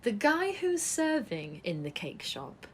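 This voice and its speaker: An actress in an audio drama playing a troubled, defensive woman who deflects and speaks haltingly. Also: fairly high pitch, sing-song, breathy edge, full character voice. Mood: evasive, brittle. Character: troubled woman resisting thoughts of her ex. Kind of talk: audio drama acting, poetic dialogue.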